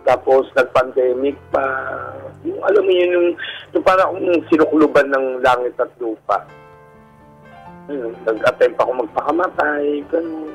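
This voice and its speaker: A middle-aged man with a Filipino accent and a husky voice, telling his personal story haltingly and candidly in a radio interview. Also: mid-range voice, sing-song, low-quality recording. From Philippines. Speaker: guest telling his life story, a stage performer. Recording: radio show with music bed. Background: background music.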